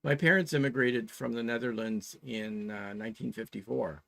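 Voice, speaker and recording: A man in his sixties with a Canadian accent, chatting casually as a show co-host and explaining plans in a halting, methodical way. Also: medium pitch, creaky, fairly bright tone. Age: 60s.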